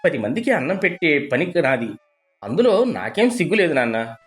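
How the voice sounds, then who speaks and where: A man plays an honest young farmer son in a cartoon moral tale. He is proud and plain-spoken, with lively inflection over faint music. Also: fairly high pitch, moderate pace. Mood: proudly protesting.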